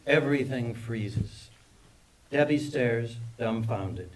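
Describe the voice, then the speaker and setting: A man's voice: medium pitch, takes it slowly. A man narrating scripted stage directions at a screenplay table read, picked up from a distance. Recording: distant mic with background noise.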